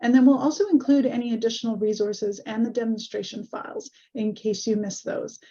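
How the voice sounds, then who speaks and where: A woman hosting an online webinar over a video call, a little hoarse, friendly and full of ums. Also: on the high side, steady tone, brisk, dark tone. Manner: friendly, many ums and uhs. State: slightly hoarse. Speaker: webinar host. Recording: muffled video call audio.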